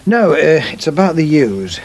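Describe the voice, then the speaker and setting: A man's voice: on the high side, sing-song, measured pace, a little mellow. An actor playing a middle-aged English farmer, a solicitous but firm father, in a radio soap. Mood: uneasy, firm. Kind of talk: British radio soap acting.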